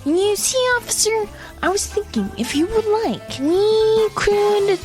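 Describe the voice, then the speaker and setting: A woman's voice: extremely high pitch, expressive, slow pace, darkish tone, faint music behind, full character voice. A woman playing a sly, coaxing schemer in a comedic western radio play. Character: sly, coaxing female schemer sweet-talking a lawman.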